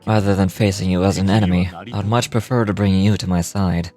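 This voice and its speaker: An actor playing a polite, earnest, apologetic young man in a fantasy game, speaking in a measured way. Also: low-pitched, steady tone, a little mellow, soft background music, natural voice. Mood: earnest, persuasive. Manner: polite, honest-sounding, measured. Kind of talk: video game dialogue acting.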